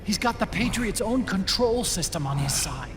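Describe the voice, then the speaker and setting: A man's voice: high-pitched, very animated, normal speed. A man playing a soldier's defeatist, anguished companion in a video game, pleading and emotional. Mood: despairing. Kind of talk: cinematic video game acting. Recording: clear noise behind the voice.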